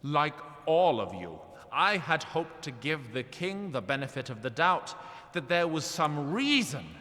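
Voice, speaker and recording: In a long, reverberant hall, a scheming fantasy lord treasurer. His delivery is slow and lively in pitch, with a grave, formal and boldly accusing courtly manner. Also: heavily acted. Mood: defiant accusation. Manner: formal, measured courtly speech. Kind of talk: fantasy audio drama acting.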